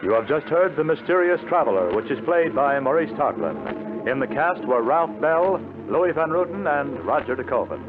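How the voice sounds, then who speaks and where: A man's voice: fairly high pitch, big pitch swings, normal speed. An American man reading formal scripted credits as a 1950s radio announcer. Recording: tinny 1950s radio broadcast. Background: music.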